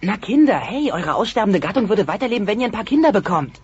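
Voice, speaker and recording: A man's voice: high voice, very animated, fast, breathy edge, exaggerated voice. An actor playing an earnest, principled teenage dinosaur son, pleading his case in a German comedy radio play. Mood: wry, persuasive. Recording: muffled, noisy old cassette transfer.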